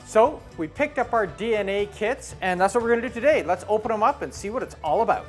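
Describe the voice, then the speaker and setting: Lively lower-voiced woman vlogger. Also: fast, faint music behind. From Canada. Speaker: travel vlogger. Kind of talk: casual vlog talk to camera.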